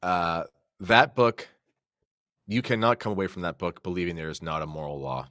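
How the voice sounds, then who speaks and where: A man's voice: deep voice, moderate pace, fairly bright tone, no echo, clean studio sound. A man from Canada speaking as a podcast guest in a casual religious discussion, explaining things in an earnest, conversational way.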